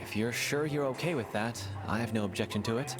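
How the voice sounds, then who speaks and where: An actor playing a grave, principled male theatre troupe member in a video game, speaking in a serious, measured way. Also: mid-range voice, natural voice. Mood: businesslike. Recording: loud music behind the voice.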